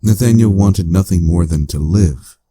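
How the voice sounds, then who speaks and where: A man playing a calm, analytical AI companion in a cyberpunk audio drama, speaking in a measured, formal, clinical way. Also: sits low, slightly rough, no room sound, studio quality. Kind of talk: scripted audio drama narration.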